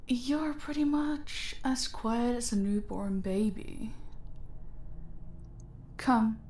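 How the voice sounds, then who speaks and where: A woman voicing a dominant, playfully sadistic mafia boss in an audio roleplay, taunting with mock sweetness and slipping into breathy whispers. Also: a little high, a little mellow, slight background noise. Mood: smug menace. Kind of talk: roleplay audio drama, slow intimate villain monologue.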